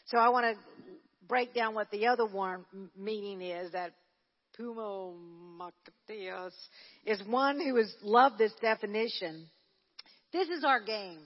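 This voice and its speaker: A fairly high-voiced woman preaches a Pentecostal sermon, her words ringing out with a long echo around a church sanctuary. She talks loosely and restarts her sentences, then climbs into fervent, rousing delivery. The sound is muffled and lo-fi. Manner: conversational, with frequent restarts, rising to fervent preaching. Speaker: church minister leading a prayer service.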